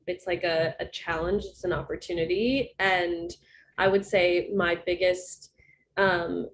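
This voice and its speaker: Female music producer, panelist on a video call; earnest, rambling, acts out quotes; faint noise. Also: mid-range voice.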